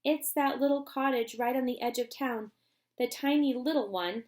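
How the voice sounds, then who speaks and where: A woman voicing an eager young boy in a storybook reading, talking in an excited rush. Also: high-pitched, moderate pace, pitched above the performer's own voice, natural voice. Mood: earnest. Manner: excited and breathless.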